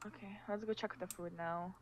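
A woman playing a level-headed, caring apocalypse survivor in a casual, measured amateur roleplay, with faint noise. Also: mid-range voice, steady tone, close to an everyday voice. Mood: calm, concerned.

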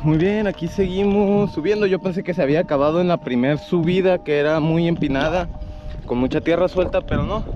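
A man's voice: fairly high pitch, a little fast, over music. A man in his thirties talking casually to camera as he climbs a trail, hesitantly searching for words. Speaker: vlogger. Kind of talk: casual hiking vlog to camera. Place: outdoors on an open hillside trail. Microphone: action camera held at arm's length. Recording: muffled outdoor mic, loud wind noise.

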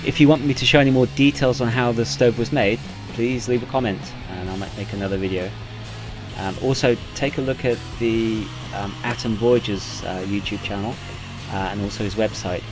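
Male how-to narrator, lowish register, informal and hesitant, short phrases, laid over prominent guitar music. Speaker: narrator, solo sailor demonstrating a homemade stove. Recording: music playing behind the voice.

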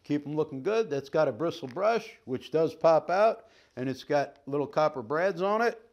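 A man's voice: fairly high pitch, expressive, moderate pace, a little mellow, muffled recording. A middle-aged man giving a folksy, upbeat product demonstration as a sales trainer, joking as he handles the equipment in a staged living room. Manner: folksy, upbeat, jokey patter while handling gear.

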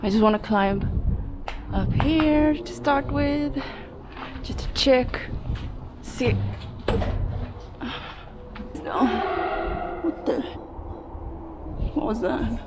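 Young woman, slow casual vlog commentary, mid-pitched voice, thinking aloud, noisy handheld camera audio. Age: young adult. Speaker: urban explorer vlogger. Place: abandoned steel factory, indoors and out. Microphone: handheld action camera.

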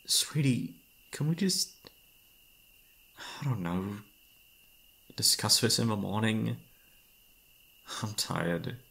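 A man's voice: fairly low pitch, animated, very slow, gravelly, long pauses, full character voice. A man playing an exhausted, affectionate young boyfriend in an intimate ASMR roleplay, speaking hesitantly with sighs. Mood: weary, reluctant. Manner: tired, sighing, hesitant, soft-hearted.

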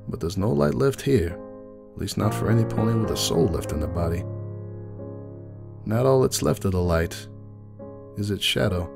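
A man's voice: lowish voice, creaky. A man voicing a bitter, grieving middle-aged pony who runs a cafe, weary and anguished, in a dramatic story reading. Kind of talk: dramatic fan fiction reading in first person.

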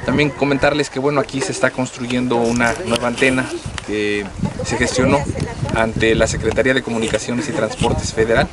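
A man's voice: mid-range voice, level tone, a little fast, a loud crowd. A man in his thirties with a Mexican accent, giving a public speech outdoors as a municipal president, with many filler words. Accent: Mexican Spanish. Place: outdoors at a rural dirt road. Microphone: lapel mic.

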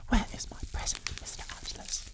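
A man's voice: moderate pace, close to an everyday voice. A man voicing a small male storybook mouse, whispering and pleading into a close microphone. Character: small male mouse searching for his present. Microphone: close studio microphone.

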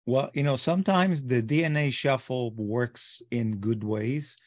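A man's voice: medium pitch, unhurried, no room sound, clean studio sound. Israeli-accented man hosting on a video call. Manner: conversational, asks loose leading questions. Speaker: host, interviewer. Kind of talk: casual interview talk. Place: home office.

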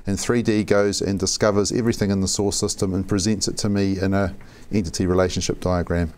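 A man in his forties with a New Zealand accent, walking through a software demo methodically in a conference room. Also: sits low, flat delivery, fast talker. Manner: plain, methodical explaining. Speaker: software architect giving a demo. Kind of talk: live software demo presentation.

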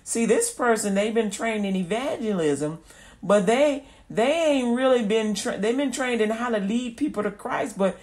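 A woman's voice: a little high, animated, moderate pace, darkish tone. A woman preaching an informal radio sermon, folksy and repetitive, acting out little scenes as she goes.

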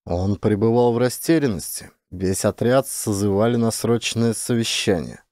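A man's voice: lowish voice, moderate pace, a little mellow, dry recording, clean studio sound. A man reading fiction as an audiobook narrator, in a dramatic scripted style.

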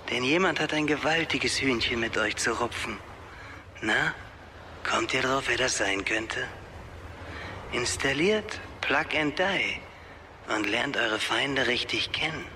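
An actor playing a sinister man in an audio drama, teasing in a saccharine, deliberate way. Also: medium pitch, pushed and bright, slight background noise. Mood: mockingly sweet. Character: sinister, mockingly sweet male menace taunting a couple. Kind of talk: German audio drama acting.

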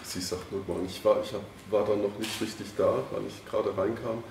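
A man's voice: fairly low pitch, fairly even intonation, a little fast, gravelly, reverberant, distant mic, background noise. A man, a journalist, asking long, loosely phrased questions at a press conference.

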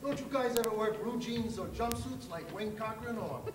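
A man's voice: very high voice, moderate pace. An older man on stage playing a crass, skeptical showbiz agent in a comedy, grousing and doubtful. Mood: scornful. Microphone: far camera. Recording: echoey stage, distant mic, noisy audience.

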